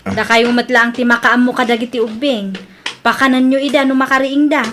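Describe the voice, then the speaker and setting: A woman with an Ilocano accent playing a busy, concerned village wife in an amateur audio drama, speaking in a brisk, instructing way. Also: fairly high pitch, fairly even intonation, a bit bright, slight background noise, close to an everyday voice. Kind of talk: amateur radio-style drama acting.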